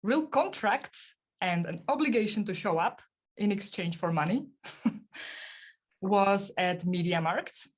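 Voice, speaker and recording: A young woman, a podcast guest, answering interview questions casually with many fillers and restarts. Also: medium pitch. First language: not German.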